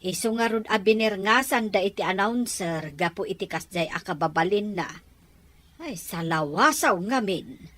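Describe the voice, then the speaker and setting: A woman's voice: mid-range voice, animated, moderate pace, a bit bright. A woman with an Ilocano accent playing a matter-of-fact village neighbour in an audio drama. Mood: gossiping.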